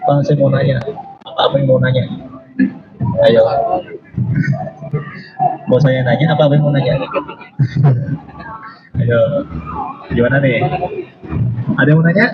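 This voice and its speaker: A man's voice: mid-range voice, steady tone, a little slow, long pauses. A man hosting a casual talk, playfully coaxing the audience to ask questions. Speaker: host moderating audience questions. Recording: lo-fi with loud noise.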